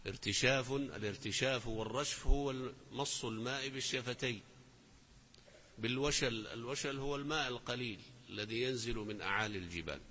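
Male teacher, mid-pitched, deliberate reciter of verse, faint hiss behind. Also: sharp tone, big-room sound. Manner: slow, deliberate recitation of verses with rising emphasis. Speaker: teacher explaining poetry. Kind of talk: classroom lesson explaining verse.

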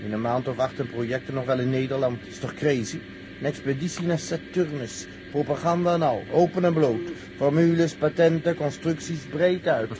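A man's voice: a little high, fairly even intonation, moderate pace, close to an everyday voice. An actor playing a nervous, suspicious rocket crewman who grumbles emphatically in an old radio drama. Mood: incredulous, sceptical. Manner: agitated, sceptical, emphatic. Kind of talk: 1960s radio drama acting. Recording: noisy old radio recording, vehicle hum.

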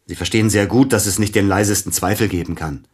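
A man's voice: lowish voice, fast talker, breathy edge. A man playing an aging, bitter, melancholy celebrated pianist in a radio play, speaking in a formal, brooding, theatrical way. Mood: accusing, resigned.

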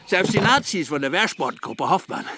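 An actor playing a sardonic older Irish ex-militant father in a radio crime drama, with a mocking, halting, theatrical delivery. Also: a little high, big pitch swings, heavy background noise.

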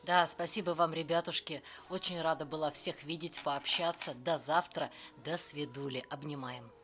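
A woman co-hosts a livestream with a low voice. She speaks casually, as in a sign-off to regular viewers. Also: fairly even intonation, moderate pace, a bit bright. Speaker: livestream co-host.

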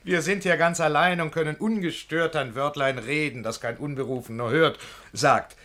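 Man playing wry worldly gentleman, slightly high voice, urbane cautioning tone, rhymed radio-play verse. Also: fairly even intonation, lightly acted. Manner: urbane, reasoning, measured declamation.

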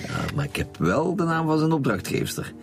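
An actor with a Flemish accent playing a calm, shrewd, dryly needling chief police inspector in a radio drama. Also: male voice, a little high, moderate pace, over music. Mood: smugly reporting. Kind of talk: radio drama acting in Dutch.

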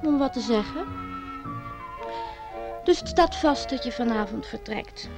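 A woman's voice: a little high, expressive, a little slow, vocal fry, over music. A young woman playing a sulky, lovesick innkeeper's daughter in a radio play, wistful and guarded. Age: young adult.

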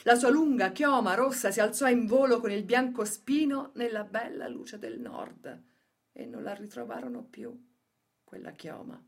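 A woman's voice: on the high side, lively intonation, normal speed. An actress playing an indignant, accusing woman orator in a theatrical radio drama recitation. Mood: grave, sorrowful. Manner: accusatory, theatrical, swinging from hushed to loud. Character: indignant woman orator accusing those who shot workers. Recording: music bed under the voice. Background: plucked guitar music.

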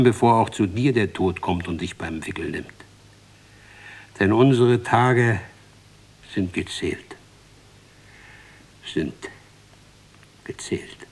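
An actor playing a grim, ominous man who warns of death in a radio drama, halting and breathy. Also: on the low side, full character voice. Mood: urgent, foreboding. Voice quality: husky, breathy. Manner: ominous, halting, dropping to whispers. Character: grim, urgent man warning an old drinker of death. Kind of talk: 1960s German radio drama acting.

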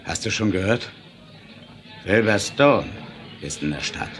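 An actor playing a reformed Wild West bandit in a 1970s German audio drama, gossiping in a folksy, conspiratorial way. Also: male voice, lowish voice, animated, measured pace, over loud music. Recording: noisy old vinyl radio-play recording.

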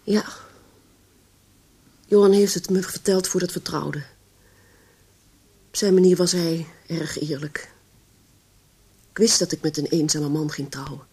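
A woman's voice: low voice, steady tone, unhurried, long pauses, darkish tone, husky. An actress playing a grieving, bewildered middle-aged widow and mother in a radio drama. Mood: subdued, sorrowful.

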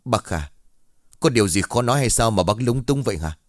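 A man's voice: fairly low pitch, lively intonation, a little fast, dry recording, studio quality, lightly acted. A man voicing a polite young police officer asking questions. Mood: inquiring.

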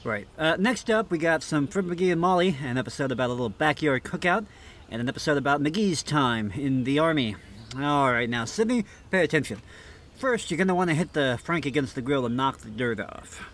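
A man's voice: fairly high pitch, lively intonation, brisk, fairly bright tone, a little hiss or hum. A man hosting a comedy skit, playing a pompous, stubborn backyard grill master who lectures with exasperation. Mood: brisk, instructive. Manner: mock-instructional, exasperated. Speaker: show host. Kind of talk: scripted comedy skit in old-time radio show.

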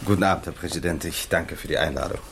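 An actor playing a courteous, concerned expert at a presidential meeting in a 1960s German radio drama, polite and formal. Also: male voice, sits low, quick pace, gravelly, noisy background.

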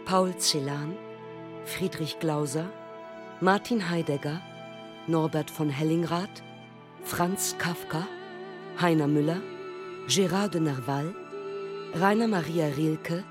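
A man performing as a sober, measured narrator in a literary radio play. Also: on the high side, music in the background.

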